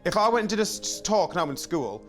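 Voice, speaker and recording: A man's voice: high-pitched, very animated, quick pace, soft background music. A young man with a Northeast English accent, chatting playfully on a reality show and talking reflectively to camera. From northeast England. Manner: chatty, playful, loud exclamations. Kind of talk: casual chat and confessional voice-over.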